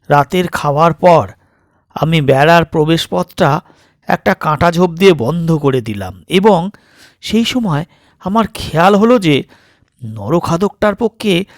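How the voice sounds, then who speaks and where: Male storyteller, higher-pitched, measured suspenseful delivery in short phrases, dry close recording. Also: no echo. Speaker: storyteller, narrator of audio stories. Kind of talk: dramatic scripted story narration.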